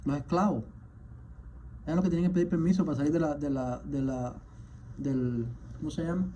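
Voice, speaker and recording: Man, indignant, rhetorical questions, faint background hiss, muffled low-fi audio. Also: steady tone, brisk. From Cuba. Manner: indignant, hammering rhetorical questions, surges louder at times. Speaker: physician, rheumatologist. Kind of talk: impassioned spoken message. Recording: dull lo-fi sound with faint background noise.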